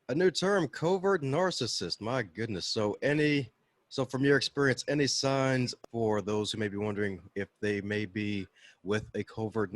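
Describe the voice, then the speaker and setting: Male podcast host, warm questioner, somewhat low voice, dry recording. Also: no room sound. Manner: friendly, encouraging, asks follow-up questions. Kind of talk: podcast interview.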